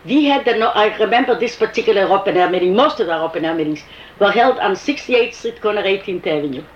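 A woman's voice: medium pitch, quick pace. Older woman with a foreign accent. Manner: animated storyteller, quotes dialogue. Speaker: interviewee recalling activism. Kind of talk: oral history interview. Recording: muffled, echoey room with faint hiss.

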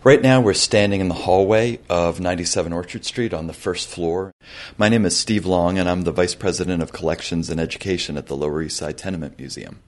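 A man's voice: low-pitched, fairly quick. A man guiding a museum tour through an old tenement hallway, explaining in a matter-of-fact way. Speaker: museum guide. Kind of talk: guided tour talk.

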